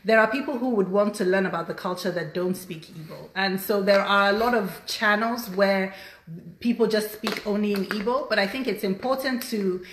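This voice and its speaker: A woman's voice: medium pitch, normal speed, a little hiss or hum. Woman with an echoey room sound. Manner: enthusiastic, frequent affirming interjections. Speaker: podcast host. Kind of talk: casual conversational interview.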